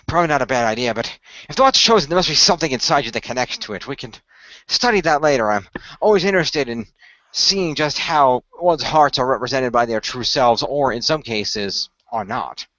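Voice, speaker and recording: Guy doing calm techy leader in a radio drama, bright punchy voice, authoritative, kinda dull lo-fi audio. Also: mid-range voice, brisk, no echo. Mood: thoughtful. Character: Noah, calm, technically minded male team leader, concerned. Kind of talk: amateur improvised radio play.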